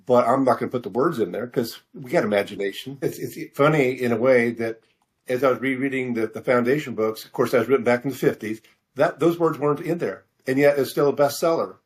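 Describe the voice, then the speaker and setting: An older man answering interview questions as a guest author, speaking in a hesitant, halting, rambling way. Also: mid-range voice. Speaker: novelist guest being interviewed.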